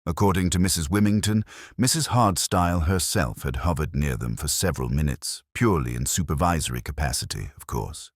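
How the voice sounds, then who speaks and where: A man narrating a cosy mystery story in a measured, formal, literary manner. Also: a bass voice, no echo, studio quality. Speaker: audiobook narrator.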